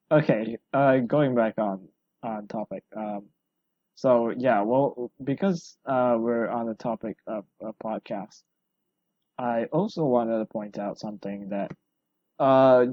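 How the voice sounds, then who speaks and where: A man hosting a solo podcast, rambling and self-deprecating, his voice hoarse from talking a long time. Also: mid-range voice, slow pace, long pauses, no echo, muffled recording. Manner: rambling, self-deprecating, full of fillers and restarts. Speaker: podcast host.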